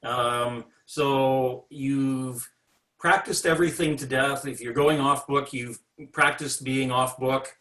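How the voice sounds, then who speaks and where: A man's voice: medium pitch, steady tone, takes it slowly, pushed and bright, reverberant, recorded from a distance. A man moderating an online convention panel, steering the discussion in an orderly way.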